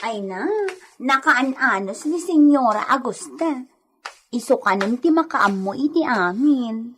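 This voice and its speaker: A woman's voice: a little high, lively intonation, a little slow, a bit bright, a little hiss or hum. A woman acting an eager, coaxing female helper who tends a sick lady in an Ilocano radio drama. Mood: friendly. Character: Edita, caring chatty female helper tending a sick lady. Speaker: voice actor.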